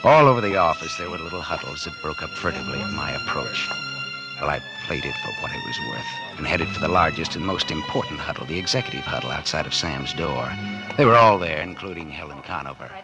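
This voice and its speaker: A man's voice: rumbling low, lively intonation, fairly quick, low-quality recording. An actor playing a cold-blooded, scheming businessman in 1940s radio noir style, wry, calculating and dramatic. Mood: cool, calculating. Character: scheming cold-blooded businessman narrating his crime, feigning innocence. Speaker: actor narrating and playing the lead. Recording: vintage 1940s radio recording with music.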